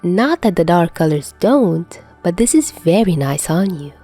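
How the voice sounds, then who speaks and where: Woman narrator as young woman, direct, appraising, mellow, lively intonation, audiobook character. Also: on the low side, unhurried, faint music behind, full character voice.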